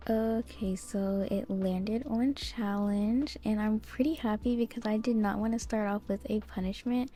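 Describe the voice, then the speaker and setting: A woman's voice: mid-range voice, steady tone, a little slow, hardly any pauses, dark tone, faint music behind. A young woman giving casual, chatty commentary over a video game as host.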